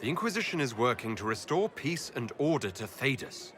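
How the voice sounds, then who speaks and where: An actor playing a steady, plain-spoken leader in a fantasy video game, composed and direct. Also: male voice, medium pitch, fairly quick, music in the background, lightly acted. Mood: firm. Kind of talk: video game dialogue acting.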